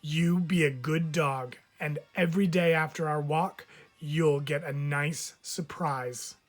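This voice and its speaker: A man voicing a kindly, soft-hearted old man in a storybook, speaking in a gentle, deliberate way. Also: fairly high pitch, steady tone. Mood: firm, coaxing.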